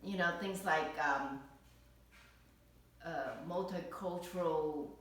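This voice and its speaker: A woman's voice: fairly low pitch, takes it slowly, fairly bright tone. A woman from Vietnam being interviewed as a parent, speaking hesitantly with many fillers and restarts. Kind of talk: casual recorded interview. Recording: echoey room, distant mic.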